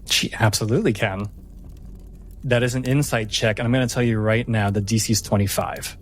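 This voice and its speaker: A man narrating a tabletop game as game master, in a measured, deliberate storytelling manner with pauses. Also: on the low side, steady tone.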